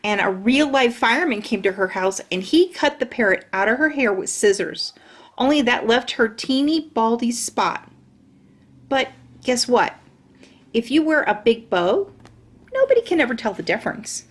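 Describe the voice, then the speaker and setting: A woman's voice: fairly high pitch, very animated, normal speed. A woman voicing a brash, chatty kindergarten girl who tells her own story in childish grammar. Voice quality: childlike, bossy, ungrammatical storytelling. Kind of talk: audiobook narration.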